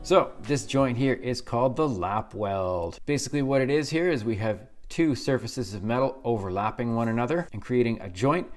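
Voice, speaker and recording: A man's voice: medium pitch, expressive, normal speed, hardly any pauses, a little mellow, faint music behind. A man in his thirties giving a laid-back, chatty welding tutorial in his small home workshop. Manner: laid-back, chatty, says "pretty simple" a lot. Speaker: welding instructor.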